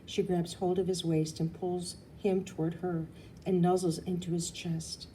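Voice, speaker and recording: A woman reading a children's novel aloud, an expressive narrator with dramatic emphasis. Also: fairly low pitch, a little slow, a little hiss or hum, low-quality recording. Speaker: audiobook reader. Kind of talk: expressive storybook narration, first person as a girl.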